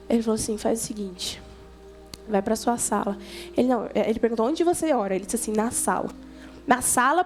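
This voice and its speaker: Female youth preacher, Brazilian accent, high-pitched, chatty storytelling delivery, faint music underneath. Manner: colloquial, conversational, addresses listeners directly, acts out dialogue. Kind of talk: informal youth sermon with storytelling.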